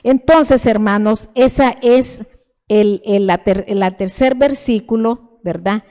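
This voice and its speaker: An older woman from El Salvador speaks with a Salvadoran Spanish accent as she teaches a sermon to her church. Her delivery is fervent and repetitive, with frequent stumbling restarts, in a fairly high voice. The sound rings with the long reverb of a church hall. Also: takes it slowly, warm tone.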